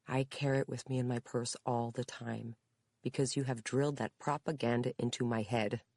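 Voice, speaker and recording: A woman's voice: a bass voice, steady tone, normal speed, a little mellow, no echo. A woman reading aloud, voicing an adult daughter who tearfully reassures her mother. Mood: earnest. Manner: emotional, reassuring.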